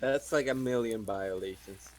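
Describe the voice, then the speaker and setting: A man's voice: lowish voice, normal speed, noisy background. A man bantering casually as a player at a tabletop game.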